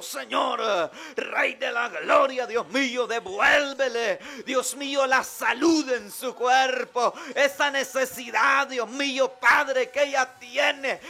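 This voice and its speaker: A man leading a fervent prayer for healing, repeating short invocations between phrases and building up to shouted declarations. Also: very high voice, vocal fry.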